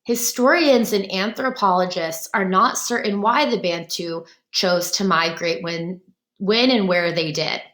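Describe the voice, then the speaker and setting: A woman teacher reads a textbook passage aloud, then breaks it down patiently in plain words. Her voice sits at an ordinary middle pitch. The room around her is roomy and echoey. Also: a little slow, a bit bright. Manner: patient, explanatory. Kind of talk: reading a textbook aloud to a class with explanations. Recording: roomy echo.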